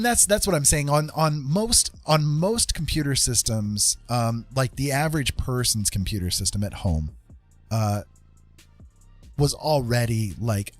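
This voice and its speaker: An American man hosting a livestream, chatting casually and explaining things in an informal, halting, rambling way. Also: lowish voice. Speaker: livestream host chatting with viewers.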